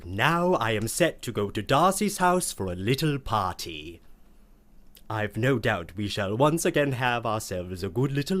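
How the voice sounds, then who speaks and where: A man playing a prim, florid, girlish-minded aunt narrating a radio play in a pompous manner, savoring each word. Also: fairly low pitch. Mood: musing, grandiose. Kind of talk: theatrical scripted radio play monologue.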